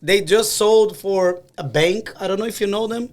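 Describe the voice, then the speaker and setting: High-voiced man, playful co-host. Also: normal speed. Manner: playful, laughs often, switches languages. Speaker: podcast co-host. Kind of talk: casual podcast chat.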